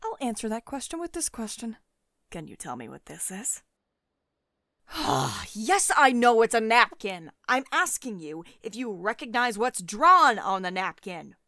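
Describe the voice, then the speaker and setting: A woman performing an ASMR roleplay as a wealthy, possessive tech CEO, speaking in an intimate, deliberate way that often drops toward a whisper. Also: fairly high pitch, big pitch swings, pushed and bright, no echo, clean studio sound, exaggerated voice. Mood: firm, exasperated.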